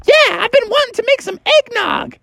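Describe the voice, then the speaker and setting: A squeaky boy sidekick. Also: expressive, brisk, creaky, pushed and bright, no room sound, exaggerated voice. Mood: whiny, excited. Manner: very high, squeaky, whiny.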